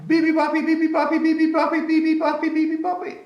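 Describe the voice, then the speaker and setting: A man's voice: very high voice, completely flat delivery, fast, dull audio, voice pushed up. A man voicing a cheerful baby songbird in a sing-song, repetitive way for a children's picture book. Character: chirpy, tireless baby songbird, male. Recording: echoey room.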